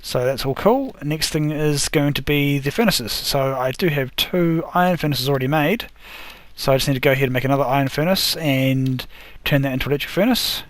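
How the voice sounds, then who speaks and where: A man's voice: mid-range voice, a little fast, dry recording. Guy rambling thru his game build, keeps fixing his words, voice bit worn out. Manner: rambling, self-correcting, talks through each step. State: losing his voice. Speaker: gaming video host. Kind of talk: casual gameplay commentary.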